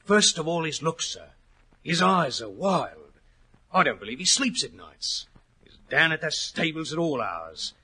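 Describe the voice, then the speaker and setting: An actor playing a worried, plain-spoken racehorse trainer in a 1940s radio drama, earnest and respectful, with rustic turns of phrase. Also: male voice, a little high, very animated, measured pace, sharp tone, slightly rough, exaggerated voice. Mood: troubled, confiding. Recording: old 1940s radio recording.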